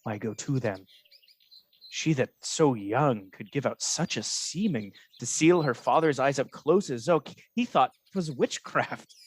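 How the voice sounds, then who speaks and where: A man's voice: mid-range voice, lively intonation, breathy edge. Man acting an insinuating, scheming false friend. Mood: feigned concern. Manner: measured, insinuating. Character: scheming false friend feigning honest, loyal concern. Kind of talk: Shakespearean radio play acting.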